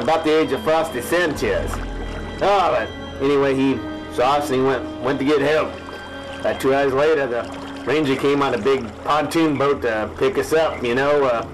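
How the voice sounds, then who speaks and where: A grizzled fisherman, acted by a man, spins a grim yarn in a parody radio play. He talks in a folksy, grave voice at middling pitch, pausing as he builds the story, with music clearly behind him. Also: natural voice, low-quality recording. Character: grizzled veteran muskie fisherman recounting a grim ordeal, spoofing a salty sea hunter. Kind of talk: parody radio drama monologue.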